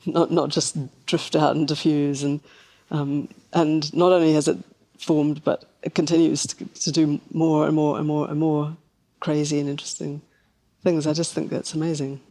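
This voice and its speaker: A woman talks through scientific ideas as an interview guest. Her intonation stays fairly flat, and she sounds reflective, feeling her way tentatively through each thought. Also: sits low, moderate pace. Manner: reflective, tentative, thinking aloud. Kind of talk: conversational science discussion.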